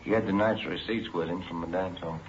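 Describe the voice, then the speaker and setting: A low-key, grumbling police partner, played by a man, speaks laconically in a fairly low voice. It is 1940s radio drama acting on a dull, noisy old recording. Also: fairly even intonation, fairly quick, close to an everyday voice. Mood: businesslike. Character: grumbling, easygoing male police detective partner.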